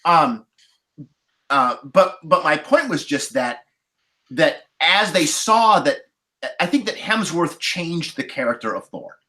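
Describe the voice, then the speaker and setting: Animated man with wide-swinging pitch. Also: slow. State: recovering from a cold, occasional cough. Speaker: podcast panelist discussing a film. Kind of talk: casual roundtable discussion.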